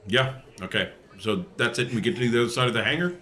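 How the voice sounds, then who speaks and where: A man's voice: mid-range voice, expressive, fast, sharp tone. A man playing a tabletop role-playing game, chatting casually with some background noise.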